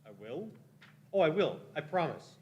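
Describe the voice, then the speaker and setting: A man's voice: a little high, sing-song, moderate pace, darkish tone. A man playing a shifty, cowardly, ingratiating time traveler in a radio play, wheedling and evasive. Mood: nervous, eager. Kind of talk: live radio-play acting.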